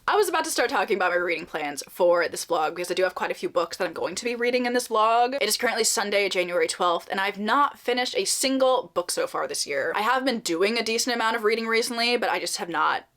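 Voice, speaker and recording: A woman's voice: medium pitch, expressive, quick pace, hardly any pauses, fairly bright tone. A young woman chatting casually through her reading vlog, upbeat and laughing often, sounding a bit nasal from a slight cold. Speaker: reading vlogger.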